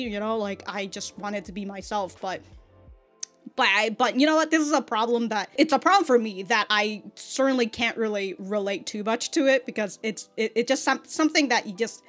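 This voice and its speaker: A woman's voice: mid-range voice, a bit bright. A woman hosting a casual reaction video, talking in an exaggerated, rambling way with stammered repeats and frequent swearing. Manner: self-described exaggerated on-camera delivery. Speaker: YouTube reaction host.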